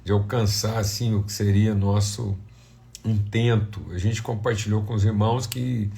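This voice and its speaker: A Brazilian man, slightly hoarse and congested, speaking as a pastor in an informal, conversational devotional talk with prayer. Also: on the low side, level tone, moderate pace, mellow. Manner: conversational, addressing viewers as family and brothers. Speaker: pastor hosting a devotional livestream.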